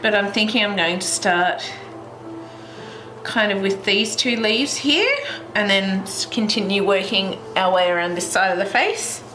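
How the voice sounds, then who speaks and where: A woman's voice: medium pitch, a little slow, over music. A young Australian woman with a stuffy, congested voice, rambling casually through a colouring tutorial at home, with lots of fillers. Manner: rambling, with many fillers and restarts. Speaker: colouring channel host. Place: at home, in front of a heater. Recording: echoey room with background noise.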